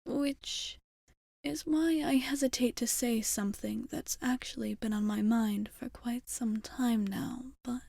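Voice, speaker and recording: Woman acting as a slow, half-whispering vampire girlfriend. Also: on the high side, steady tone, dry recording. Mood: wistful, hesitant. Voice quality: soft, breathy, half-whispered. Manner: formal, teasing, unhurried, measured phrasing. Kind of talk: ASMR roleplay, intimate scripted monologue.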